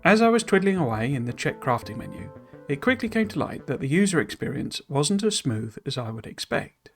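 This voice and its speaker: A man's voice: a little high, sing-song, a little fast, soft background music. Man reading aloud and explaining, hesitant. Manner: explains in loose asides full of "you know"; hesitant and self-deprecating over foreign words. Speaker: streamer reading a game dev blog.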